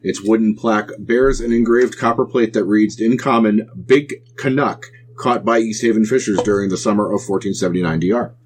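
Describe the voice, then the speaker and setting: A man narrating a tabletop role-playing game as game master, explaining scenes in a halting, explanatory way. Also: on the low side, slightly rough.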